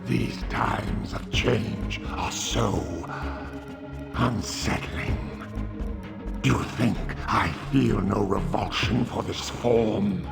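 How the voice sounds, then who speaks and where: A man voicing a decayed, monstrous vampire in a video game, weary, bitter and menacing, with a gravelly, hissing croak and drawn-out delivery. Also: fairly low pitch, heavily acted. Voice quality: gravelly, croaking, breathy hiss. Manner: slow, drawn-out, theatrical menace. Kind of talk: video game cutscene acting.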